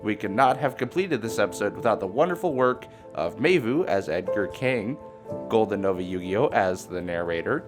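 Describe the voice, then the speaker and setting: A man's voice: lowish voice, animated, normal speed, music in the background. A man reading a scripted outro as the announcer of an audio series.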